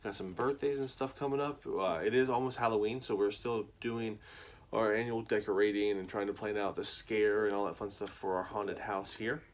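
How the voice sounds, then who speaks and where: A man's voice: lowish voice, normal speed, a little hiss or hum. A man in his thirties hosting a bedtime story livestream, chatting casually with lots of fillers and then reading aloud. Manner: relaxed, rambling, lots of fillers.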